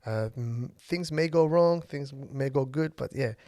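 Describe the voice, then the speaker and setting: A man in his thirties, from Ethiopia and a native Somali speaker, hosting a casual solo podcast and speaking haltingly with many fillers. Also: mid-range voice, darkish tone, dry recording, studio quality. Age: 30s. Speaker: podcast host.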